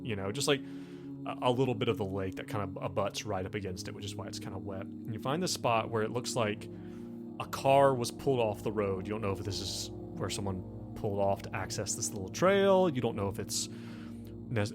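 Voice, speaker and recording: A man's voice: on the low side, moderate pace. Conversational male game master over music. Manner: conversational, searches aloud for words. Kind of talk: improvised tabletop game narration. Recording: music behind the voice. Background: background music.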